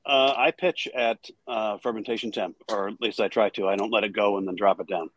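Hesitant brewer with a dry close sound. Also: male voice, fairly low pitch, fairly even intonation, fairly quick, dry recording. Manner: hesitant, lots of uh and um while recalling numbers. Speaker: guest brewmaster. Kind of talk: casual interview answers.

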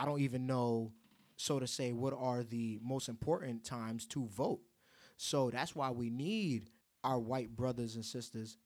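A man's voice: mid-range voice, darkish tone. A man in casual conversation as a co-host, speaking in a passionate, preaching tone.

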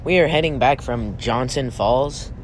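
A man reading scripture aloud in halting phrases, occasionally stumbling over words. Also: medium pitch. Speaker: family scripture reader. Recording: loud vehicle and road noise. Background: car and vehicle noise.

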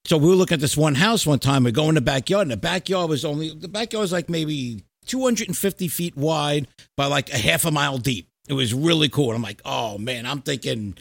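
A middle-aged man, recorded close and dry. He hosts like he is chatting with an old friend, swearing freely and telling stories in animated street slang. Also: a little high, a little fast, gravelly, few pauses, no room sound. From New York and New Jersey, United States. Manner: animated, profane, slangy storytelling. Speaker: podcast host. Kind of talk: casual chat with a friend.

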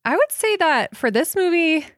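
A woman's voice: very high voice, sing-song, moderate pace, no echo, studio quality. A woman co-hosting a horror movie podcast, chatting casually, often laughing and a little hesitant. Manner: giggly, hesitant. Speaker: podcast co-host.

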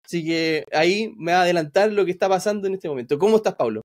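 A man's voice: high voice, fast talker. A man, hosting a podcast and asking chatty questions. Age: 40s. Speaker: podcast host. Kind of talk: casual interview talk.

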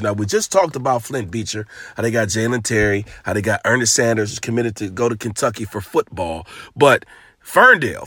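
A man with a cold-roughened, raspy voice hosting a casual basketball podcast, talking loose and hyped with plenty of slang. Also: mid-range voice, bright, forceful tone, dry recording, clean studio sound. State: hoarse from a cold. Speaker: podcast host. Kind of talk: casual sports podcast talk.

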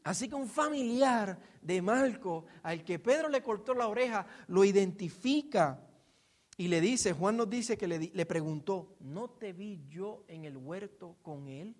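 A man's voice: high voice, animated, unhurried. A man with a Puerto Rican accent preaching in an informal, storytelling way, explaining scripture and asking rhetorical questions. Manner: informal, acts out quotes and asks rhetorical questions. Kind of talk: expository sermon, conversational storytelling.

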